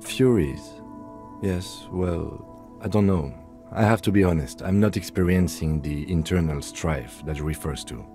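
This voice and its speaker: A reserved male police lieutenant from a video game, low and mellow in voice. He talks in a measured, polite way with dry, deadpan earnestness. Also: faint music behind. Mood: puzzled, polite. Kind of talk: video game dialogue acting.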